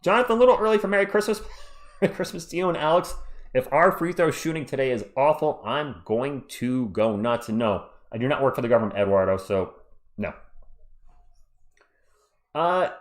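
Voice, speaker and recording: A man's voice: mid-range voice, lively intonation, normal speed, pauses often. Casual man hosting a live sports stream. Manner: chatty, reads viewer comments aloud. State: voice worn out. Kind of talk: casual live stream chat reading comments.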